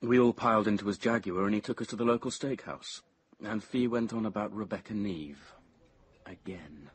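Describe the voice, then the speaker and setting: A man's voice: lowish voice, moderate pace, slightly rough. An actor playing a reserved young English man in a radio drama, understated and reflective as narrator and character. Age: young adult. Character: reserved, squeamish young man recalling his family's past.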